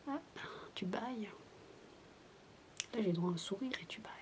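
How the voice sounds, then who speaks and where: A woman in a soft, breathy, mostly whispered ASMR voice, telling a bedtime story as a chatty, hesitant babysitter. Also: mid-range voice, normal speed. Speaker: ASMR roleplayer playing a babysitter. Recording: noisy homemade recording without binaural mic.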